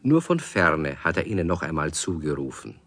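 A man's voice: very deep voice, sing-song, normal speed, fairly bright tone, exaggerated voice. A man giving scripted narration as the storyteller of a children's radio play.

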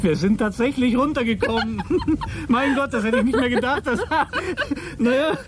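An actor playing a cocky, wisecracking astronaut pilot in a German radio play, with a breezy, excitable manner. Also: male voice, moderate pace. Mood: overwhelmed relief. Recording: noisy rumbling vehicle ambience.

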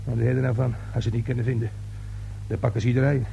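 A man's voice: medium pitch, flat delivery, rapid-fire, creaky, dull audio. An actor playing a flustered male building caretaker in a radio play, stammering and defensive, with colloquial speech. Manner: stammering, hesitant, repeats words. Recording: old radio play recording with faint hum.

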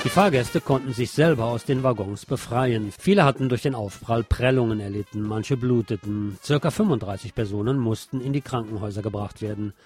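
A man presenting radio news from a script, with the occasional wry aside. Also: mid-range voice.

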